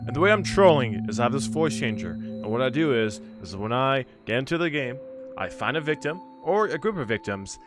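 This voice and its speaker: A young man talks in a casual, excitable gamer style with a very lively, swinging pitch. He is close on a desk mic in a room at home, with clear music playing behind him. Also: a little fast. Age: young adult. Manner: animated, swearing, prone to yelling. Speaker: gaming video host. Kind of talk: casual excitable gaming commentary. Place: small furnished room at home. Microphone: close desk mic on boom arm, with headset.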